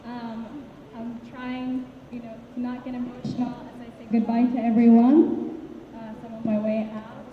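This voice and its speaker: A woman's voice: a little high, flat delivery, moderate pace. A woman from Peru, a departing Hebrew school teacher, giving an emotional farewell speech with many hesitant restarts. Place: hall. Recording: echoey hall, tinny phone-like sound, faint hiss.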